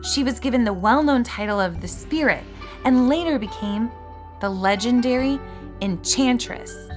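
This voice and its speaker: A woman playing an earnest, excitable, bookish fairy scholar who lectures about magic in a scripted roleplay. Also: on the high side, normal speed, lightly acted. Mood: storytelling, grand. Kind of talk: scripted roleplay voice acting with storytelling. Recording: music behind the voice.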